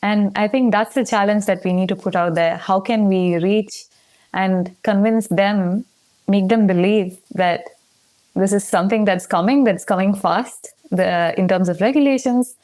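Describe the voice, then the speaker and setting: A woman in her thirties from Chennai, India, speaking as an interview guest. She talks fluently and tells stories with light laughs. Her voice sits at a mid pitch. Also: measured pace, darkish tone. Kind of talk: conversational interview answers.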